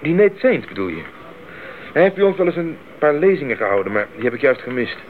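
An actor playing an idealistic, hearty young male missionary in a Dutch radio play, fervent, expressive and good-humoured. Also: a little high, quick pace. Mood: confident, calm. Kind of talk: Dutch radio drama acting. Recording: muffled tinny old radio recording, faint noise.

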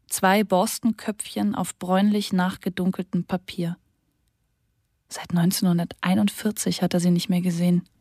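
A woman's voice: fairly low pitch, a little mellow, no room sound. Sober woman reading a diary, dry studio. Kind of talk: literary diary reading for radio.

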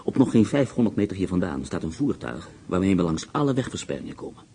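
A man's voice: deep voice, sing-song, fast talker, dark tone, slight background noise. An actor playing a confident, scheming male prisoner of war on the run who talks in a persuasive, mocking way, in a radio play.

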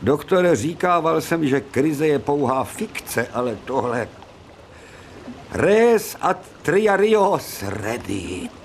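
An imperious, educated professor at the end of his patience in a radio drama. His fairly high voice swoops with theatrical exasperation, over faint background noise. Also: very animated, vocal fry. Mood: weary, exasperated. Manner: exasperated, imperious, formal address. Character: irascible, educated male professor at wit's end. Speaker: actor in a radio play.

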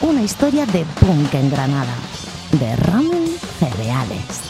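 A woman's voice: low-pitched, huge pitch swings, measured pace. A woman narrating a mock radio documentary in a dramatic, ironic tone. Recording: narration over loud music.

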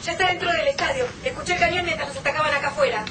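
A woman's voice: sits high, very fast, roomy sound, very noisy, lightly acted. A woman playing an alert, cautious Argentine resistance fighter in a radio drama, speaking with urgency. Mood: tense. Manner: urgent, watchful. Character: alert, cautious female fighter in alien war. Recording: distant, loud music and crowd.

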